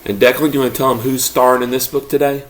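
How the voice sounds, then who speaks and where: A man's voice: medium pitch, little pitch movement, quick pace. A man speaking as a father presenting a children's book read-aloud with his child.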